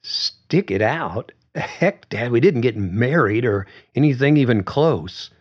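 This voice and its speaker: A man's voice: mid-range voice, animated, slightly rough, lo-fi sound, pitched above the performer's own voice. A man voicing a young woman, a daughter who answers her father hesitantly. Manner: hesitant, halting replies. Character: young woman, daughter, shaken but frank.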